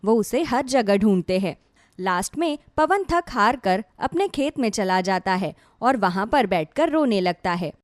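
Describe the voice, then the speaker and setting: A woman's voice: mid-range voice, expressive, a little slow, dry recording, clean studio sound. A woman narrating a scripted dramatic story as a storyteller.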